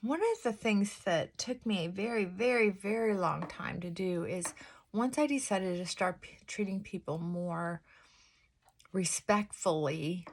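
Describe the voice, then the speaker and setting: A middle-aged woman chats slowly and thoughtfully while getting ready in the morning. Her fairly high voice is held down on purpose, and she drops into soft whispered asides. She speaks in a confessional, reflective way. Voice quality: fairly high-pitched voice she works to pull down. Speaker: vlogger. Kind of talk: casual get-ready-with-me chat.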